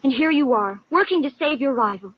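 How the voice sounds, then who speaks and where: A woman's voice: sits high, expressive, a little fast. A woman playing an anxious, melodramatic, lovestruck young woman in a 1950s radio drama, with breathless, earnest pleading. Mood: indignant, fond. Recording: muffled vintage radio broadcast.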